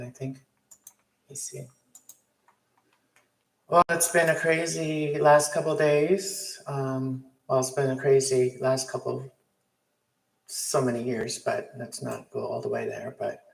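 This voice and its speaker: Raspy man, echoey room. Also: on the high side, slight background noise. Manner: meandering, many restarts and fillers, spiritual talk. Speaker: tarot livestream host. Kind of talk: rambling live-stream monologue. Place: apartment at home.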